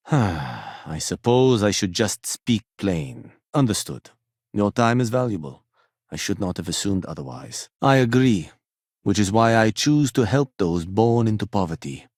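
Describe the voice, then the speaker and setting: A deep-voiced, fussy corporate stickler. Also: male voice, darkish tone, no echo, studio quality, heavily acted. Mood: formal, guarded. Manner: precise, formal, clipped, dryly condescending. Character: fussy, punctual, condescending male corporate office worker. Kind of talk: video game voice acting.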